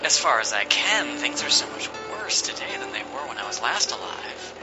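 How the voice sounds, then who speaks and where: Casting: male actor as indignant commoner rebel, fantasy audio drama, mid-pitched with lively swings, music underneath. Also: moderate pace, natural voice, rough recording. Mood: resentful, skeptical. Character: skeptical, resentful commoner rebel back from death. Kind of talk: dramatic audio fiction dialogue. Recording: music playing behind the voice.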